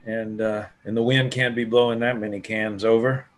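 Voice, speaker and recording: A man's voice: fairly low pitch, level tone, normal speed, close to an everyday voice. A man playing a persistent survivor who pines for his friend's wife, coaxing and casual, in an online table read. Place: video call. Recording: noisy online video call.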